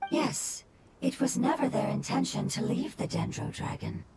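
A woman voicing a gentle, solemn jellyfish-like water spirit in a video game, in a breathy, measured, earnest way. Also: high voice, very noisy. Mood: earnest, pleading. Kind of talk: scripted video game dialogue. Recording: loud music under the voice.

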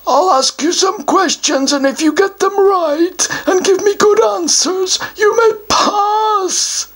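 A woman voicing a vain, touchy guardian creature of a treasure hoard, blustering and quick to take offence in a children's story. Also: very high-pitched, fairly bright tone, pitched above the performer's own voice, heavily acted. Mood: self-important. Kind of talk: children's storytelling with put-on voices.

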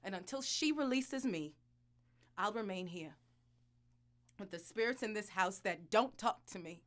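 An actress playing a bitter, devout wife in an audio drama, intense and deliberate in her delivery. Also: mid-range voice, expressive, fairly bright tone. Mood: weary, anguished. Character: bitter, anguished wife and reluctant adoptive mother, devout. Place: small recording studio. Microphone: studio condenser mic.

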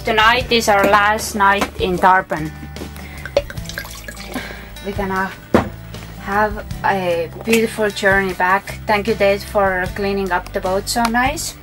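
Slow-talking cheerful young woman. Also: mid-range voice, steady tone, music in the background. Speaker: sailing vlogger. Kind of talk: casual vlog chat. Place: indoors, cabin and rented bedroom.

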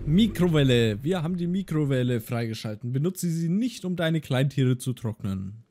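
A man's voice: medium pitch, expressive, normal speed, mellow, faint music behind. A young man giving casual, goofy commentary over a game and singing silly rhymes. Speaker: let's play host.

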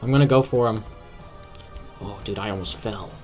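A man's voice: lowish voice, normal speed, faint music behind. A young man giving casual gameplay commentary, cheering on a teammate. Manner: excited, urging on a teammate. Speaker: gamer.

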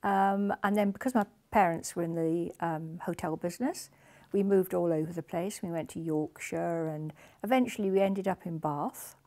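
Older woman, low and gravelly. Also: fairly even intonation, moderate pace, warm tone. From northern England. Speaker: interviewee. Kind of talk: reminiscing interview. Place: living room indoors.

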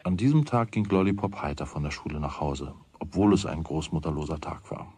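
A man with a husky voice narrating a children's radio play. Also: very deep voice, animated, brisk. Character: storyteller of a children's tale.

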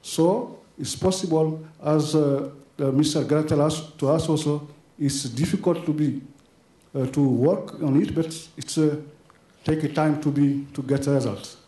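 Guy speaking english as second language at a conference, halting, repeats himself, handheld mic, echoey hall. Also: on the high side, steady tone, dark tone. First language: Slovene or French. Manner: halting, repeats words, apologizes for his English. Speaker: audience member commenting. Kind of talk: conference floor comment. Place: large bright conference room.

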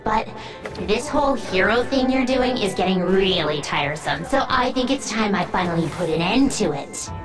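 A woman voicing a sassy, sinister dream-stealing spirit in an animated game, with a bored, teen-like drawl. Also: medium pitch, moderate pace, over music, lightly acted. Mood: smug, annoyed. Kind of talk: animated game villain acting.

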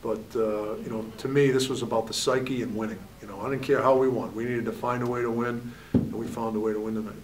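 Hockey coach at a postgame press table. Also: male voice, mid-range voice, fairly even intonation, brisk, distant mic, a little hiss or hum, low-quality recording. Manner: candid, repeats "you know" often. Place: press room at a backdrop. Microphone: table mic, at a distance.

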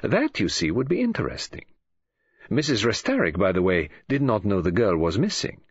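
A prim, fussy older detective, as a male narrator puts him on, precise and measured in every phrase. His voice is a little husky, recorded dry and somewhat dull. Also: fairly low pitch, sing-song, no echo, exaggerated voice.

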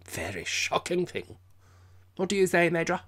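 High-pitched, pompous comic drunk. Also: male voice, very animated, normal speed, fairly bright tone. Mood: indignant. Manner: portentous, insistent, slightly stumbling speech. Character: drunken middle-aged man, solemn, indignant, pompous, comic. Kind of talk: audiobook character voice.

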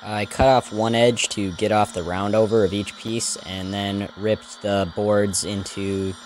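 A man's voice: lowish voice, little pitch movement, unhurried, darkish tone, slight background noise. A man narrating a scripted woodworking how-to in a plain, matter-of-fact, step-by-step way. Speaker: woodworker, video host.

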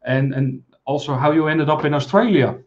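A man in his forties hosting a live stream interview over a video call, talking in a friendly, halting way with many fillers. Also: fairly high pitch, darkish tone, highs cut off. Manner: friendly, halting, many uhs, laughs easily. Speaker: host. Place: small room, indoors.

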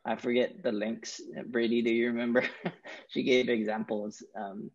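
A man in his thirties talks casually and haltingly, filling pauses with ums. His voice is husky with a gravelly fry. The sound is dull and lo-fi, as heard over a video call. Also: medium pitch, level tone. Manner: hesitant, many ums. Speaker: meetup organizer. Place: living room at home. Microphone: earbuds on video call.

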